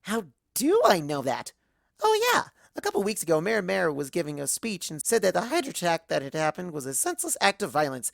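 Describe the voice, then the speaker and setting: A woman's voice: lowish voice, huge pitch swings, brisk, creaky, no room sound, clean studio sound, full character voice. A woman voicing a bubbly, scatterbrained young cartoon pony who rambles earnestly like a child. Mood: chirpy, rambling. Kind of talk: first-person fan fiction narration in character.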